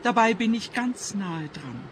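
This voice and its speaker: A woman's voice: mid-range voice, lively intonation, measured pace, a little hiss or hum, natural voice. An actress playing an older woman scientist in a radio play, anxious, obsessive and stubbornly defensive, speaking plaintively. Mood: defiant, plaintive.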